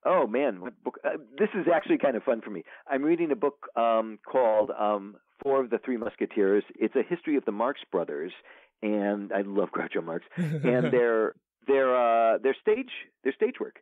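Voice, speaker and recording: Author guest, male, rambling and animated, heard over a tinny phone line. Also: mid-range voice, moderate pace, no room sound. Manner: rambling, many fillers and restarts. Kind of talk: casual interview chat.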